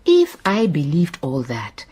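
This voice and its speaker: A woman's voice: deep voice, huge pitch swings, warm tone, slightly rough, full character voice. An actress playing a stubborn lady private detective in a radio drama, speaking formally and unhurriedly with a Southern African accent. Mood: determined. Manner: unhurried, formal, firm phrasing.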